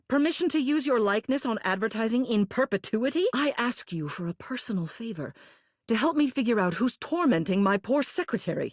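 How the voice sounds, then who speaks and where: A woman's voice: fairly high pitch, no echo, clean studio sound. A woman playing a haughty, formal mayor who is secretly tender, in scripted video game dialogue. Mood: indignant, exasperated. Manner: prim, formal, officious, clipped commands.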